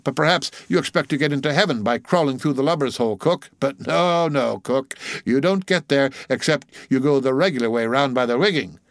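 A man's voice: medium pitch, brisk, hardly any pauses, no room sound, studio quality, voice pushed up, natural voice. A man voicing a bossy ship's officer in an audiobook sea story, commanding and teasing with mock solemnity. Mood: hectoring.

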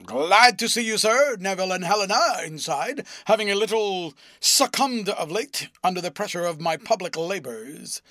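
A man voicing a loud, pompous, bullying gentleman with a booming, ferocious delivery, in an audiobook. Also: animated, normal speed, hardly any pauses, no echo, clean studio sound. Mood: blustering. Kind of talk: audiobook character voice.

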